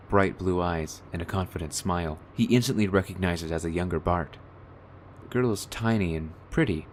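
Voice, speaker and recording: Male narrator for audio drama, very low pitch, scripted read, slight ambient noise. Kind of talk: scripted radio-play narration.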